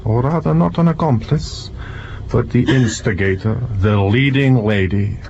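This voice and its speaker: An actor playing a shrewd, self-assured detective in an audio drama, speaking in a deliberate, mock-courteous, accusing manner. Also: male voice, medium pitch, a little mellow, highs cut off, slight background noise, natural voice. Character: shrewd male detective exposing a murderess, theatrical, self-assured.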